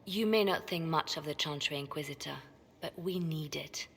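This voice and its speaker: Poised game mage advisor, lowish breathy voice, cool and persuasive, big reverby hall. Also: female voice, normal speed, a bit bright, a little hiss or hum. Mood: urgent, persuasive. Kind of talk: video game cutscene acting.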